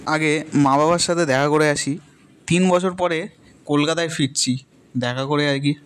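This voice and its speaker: A man's voice: a little high, a little slow, clear, resonant tone, close to an everyday voice. A man playing a young office worker in colloquial, questioning dialogue with an old friend in a dramatized story. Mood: friendly. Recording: loud guitar music behind voice.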